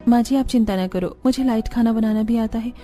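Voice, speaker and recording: A woman's voice: a little high, a little fast, mellow, soft background music, lightly acted. A woman voicing a sweet, dutiful and eager young village bride in a cartoon.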